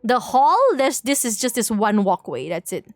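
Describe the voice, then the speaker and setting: A woman's voice: on the high side, expressive, normal speed, no echo. A woman livestreamer explaining things casually to viewers in a rambling, playful way, repeating words and correcting herself as she goes. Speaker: livestream host.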